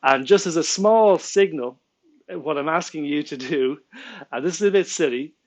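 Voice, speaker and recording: A man's voice: on the high side, normal speed. Exasperated Irish man ranting to camera. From Ireland. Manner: exasperated, restarts often, laughs in disbelief, gestures with hands. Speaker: vlogger and small business owner. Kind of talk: indignant direct-to-camera rant. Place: small room indoors at home.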